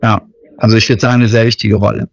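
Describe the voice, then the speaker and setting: A man in his thirties from Germany, talking casually about his missionary work in an interview, often pausing with fillers. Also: on the low side, level tone, gravelly. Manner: hesitant, many fillers and restarts.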